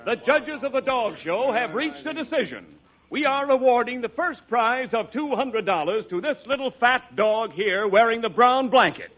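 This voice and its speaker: A man's voice: very high voice, lively intonation, normal speed, dull audio. A man playing a pompous, testy dog-show judge with formal delivery in a 1940s radio comedy. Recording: old radio broadcast, loud audience behind.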